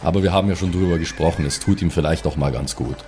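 A man playing a courteous, gruff adventurer in an improvised roleplay audio game, speaking politely and with understatement. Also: low-pitched, quick pace, a little mellow, soft background music, close to an everyday voice. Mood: courteous, dry. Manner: polite, understated, formal address.

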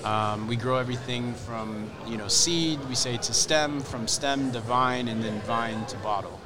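A man who founded a spirits brand speaks casually in an interview, halting often with fillers and restarts. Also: medium pitch. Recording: outdoor crowd noise.

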